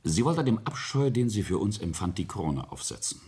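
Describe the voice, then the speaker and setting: An actor playing an arrogant middle-aged family patriarch in a radio play, deliberate and self-justifying, with a husky, gravelly voice. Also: male voice, fairly low pitch, big pitch swings, mellow. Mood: bitter, self-justifying. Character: arrogant, coldly defiant family patriarch confessing a murder.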